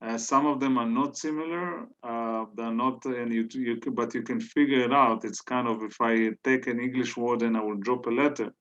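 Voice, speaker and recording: A man's voice: mid-range voice, fairly even intonation, moderate pace, hardly any pauses, a bit bright. A man whose first language is Hebrew, giving an informal lecture with many fillers and restarts. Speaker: guest lecturer. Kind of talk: informal lecture on biblical archaeology. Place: synagogue hall. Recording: dull lo-fi sound.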